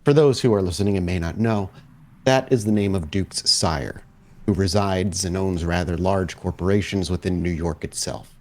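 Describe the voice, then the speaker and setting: A man's voice: deep voice, moderate pace, darkish tone. A man narrating a tabletop roleplaying game as storyteller, describing scenes and asking players questions.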